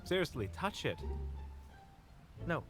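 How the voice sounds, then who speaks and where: An actor playing a playful, skittish monster hunter with a teasing manner in an audio roleplay. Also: male voice, a little high, sing-song, takes it slowly, over music.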